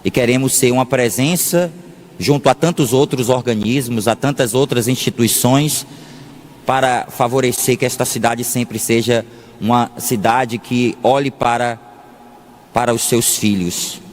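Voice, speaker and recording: Slow-paced Brazilian priest in an echoing hall. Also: male voice, mid-range voice, fairly even intonation, slight background noise. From Salvador, Bahia, Brazil. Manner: deliberate, pausing often, repeats phrases for emphasis. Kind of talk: sermon. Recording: long echoing reverb of a large hall.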